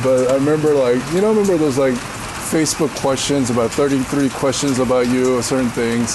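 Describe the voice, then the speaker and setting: A deep-voiced man in his forties from Korea, chatting as a podcast guest. His talk is loose and full of fillers and quick laughs, at an ordinary middle pitch. Steady rain noise runs under the recording. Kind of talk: casual storytelling.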